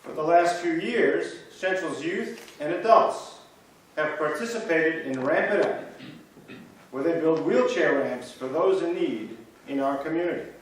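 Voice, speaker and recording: A man performing reader's theater, reading scripted church history stories in a measured storytelling way, in a church. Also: on the high side, lively intonation. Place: church sanctuary. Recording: echoey church from far off.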